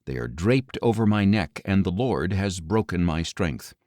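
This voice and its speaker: A man reading scripture as an audiobook narrator in a solemn, grave, measured delivery with deliberate pauses. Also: sits low, animated, darkish tone, dry recording, studio quality.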